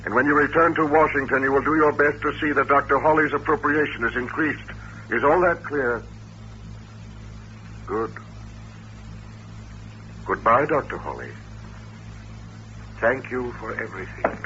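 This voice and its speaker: A coolly commanding young mind-controller. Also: male voice, medium pitch. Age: young adult. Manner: calm, deliberate, commanding. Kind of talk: old-time radio drama acting. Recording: dull old radio recording, faint hiss.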